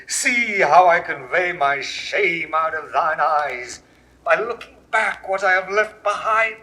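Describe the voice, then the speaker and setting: A fallen Roman general in a vintage Shakespeare audio drama. His bright voice moves slowly through the lines, swinging from trembling whispers to high, anguished cries. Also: lively intonation, heavily acted, rough recording. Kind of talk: 1960s studio Shakespeare recording.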